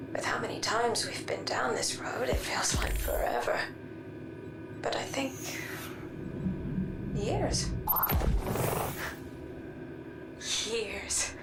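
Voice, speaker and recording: A burdened, emotional sister from a video game. Her voice moves widely in pitch and often turns breathy and hushed. It sounds a little far off. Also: lively intonation, slow, pauses often, in a whisper, over loud music, heavily acted. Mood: weary, regretful. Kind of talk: scripted video game dialogue. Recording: distant, echoey game playback.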